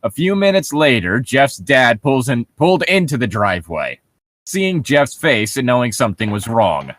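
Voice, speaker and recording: A man's voice: medium pitch, very animated, moderate pace, a bit bright, no room sound. Sarcastic, swooping male co-host, close and dry. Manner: sarcastic, profane. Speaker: co-host reading a story aloud for mockery. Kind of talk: story reading with joking commentary.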